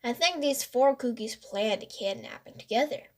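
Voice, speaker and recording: Lady doing a jokey skeptical kid boy from a storybook, high and really bouncy. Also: expressive, normal speed, a little mellow, natural voice. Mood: playful. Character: joking, skeptical young boy in a children's mystery.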